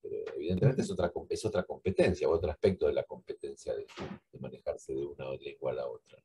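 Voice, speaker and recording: A man's voice: a bass voice, fast talker, mellow, slight background noise. A man, speaking reflectively on a video call from a library cubicle and repeating words as he thinks. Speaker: guest translator. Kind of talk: conversational discussion.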